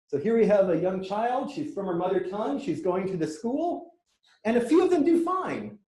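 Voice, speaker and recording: A man with a high, light voice presents at a conference, eager and quick to make a small joke. He sounds middle-aged and talks the audience through his slides. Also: darkish tone, poor audio. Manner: enthusiastic, lightly joking. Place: conference room.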